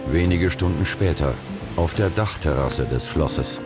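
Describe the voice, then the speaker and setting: A man announcing the time and setting in a scripted radio play. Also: a little slow. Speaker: scene announcer. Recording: deep voice over loud music.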